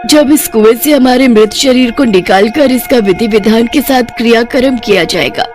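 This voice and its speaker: A woman's voice: a little high, moderate pace, a little mellow, faint music behind, lightly acted. A woman voicing a vengeful, aggrieved witch ghost mother in a cartoon, with a menacing manner. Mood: aggrieved, solemn.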